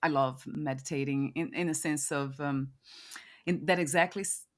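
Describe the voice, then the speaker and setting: Musing, lower-voiced woman host. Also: steady tone, moderate pace. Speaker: podcast host interviewing a guest. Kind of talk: reflective conversational interview.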